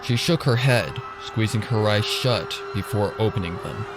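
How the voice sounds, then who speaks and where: A man's voice: fairly low pitch, normal speed. A man reading scripted fan fiction as a dramatic narrator. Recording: loud music and effects behind. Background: loud music.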